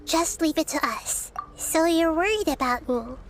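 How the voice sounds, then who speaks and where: A wise little girl deity in an anime game. The voice is very high and youthful, yet measured, thoughtful and caring. Music is clearly heard behind it. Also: animated. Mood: gently resolved. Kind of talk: anime video game voice acting.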